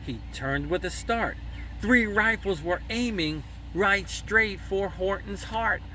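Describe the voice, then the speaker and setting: A man telling a children's story expressively, with dramatic, measured phrasing. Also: sits high, sharp tone. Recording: slightly distant mic, faint background noise.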